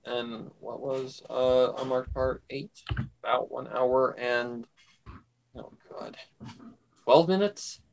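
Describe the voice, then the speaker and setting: A man's voice: mid-range voice, steady tone, slow pace, creaky, long pauses, slight background noise. Man chatting casually, blunt and grumbling. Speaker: livestream co-host. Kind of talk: casual chat.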